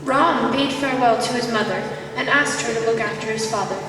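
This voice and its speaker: A woman's voice: on the high side, brisk, recorded from a distance. A female narrator giving scripted narration for a stage play in an echoey hall. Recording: echoey gym hall, loud music behind.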